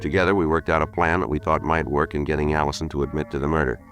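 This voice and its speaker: An actor playing a terse, unflappable police detective, clipped and deadpan, in a 1950s radio crime drama. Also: male voice, very deep voice, quick pace. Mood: matter-of-fact.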